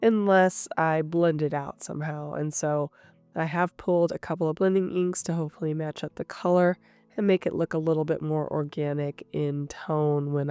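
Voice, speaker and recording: A woman with a cold, sounding nasal and a little rough, calmly narrating her craft session as the host of her channel. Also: low-pitched, no room sound. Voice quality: slightly rough. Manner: calm, explanatory, thinking aloud. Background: soft guitar music.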